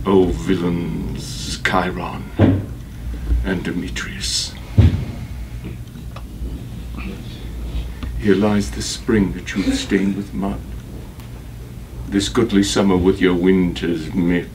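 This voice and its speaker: A man's voice: low voice, level tone. An actor playing a vengeful, grief-maddened Roman father in a declamatory Shakespearean stage monologue. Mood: grim, controlled. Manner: declamatory, deliberate, emphatic. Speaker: actor in a workshop. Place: acting workshop, indoors. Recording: distant mic, clearly noisy workshop room.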